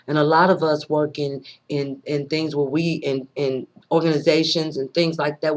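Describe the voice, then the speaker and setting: A woman with a rough voice giving an earnest, preachy monologue, pausing often and repeating her words as she thinks aloud. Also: deep voice, darkish tone. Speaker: vlogger sharing personal views. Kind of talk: unscripted spoken monologue.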